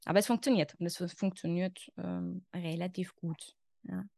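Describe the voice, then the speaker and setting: A woman's voice: lowish voice, fairly even intonation, moderate pace, gravelly, warm tone, no echo, studio quality. A woman in her thirties with an Austrian German accent, a guest expert talking reflectively in a podcast conversation and often saying "genau" and "ja".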